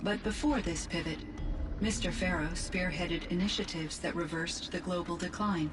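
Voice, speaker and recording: A courteous female artificial intelligence played by a woman in a video game, speaking in a lowish register with exact, composed phrasing. She sounds set back from the mic, with clear noise over the recording. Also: moderate pace, distant mic, natural voice. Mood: composed, informative. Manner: formal, precise, composed diction. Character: polite, measured artificial intelligence from the old world, female. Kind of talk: scripted video game dialogue.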